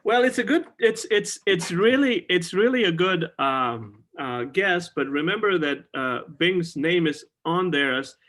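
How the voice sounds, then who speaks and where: A man's voice: high-pitched, animated, measured pace, few pauses, fairly bright tone. A middle-aged man hosting a podcast, talking casually and enthusiastically about old songs, with many fillers and restarts. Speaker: podcast host. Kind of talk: casual conversation about music. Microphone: video call.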